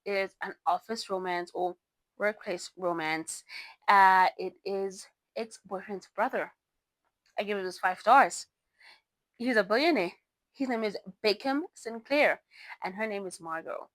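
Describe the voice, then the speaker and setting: A woman's voice: medium pitch, measured pace, a bit bright, no echo. Chatty young woman, dry close sound. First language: not English. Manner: chatty, rambling, self-correcting, giggly asides. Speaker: book reviewer, channel host. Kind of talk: casual book review vlog.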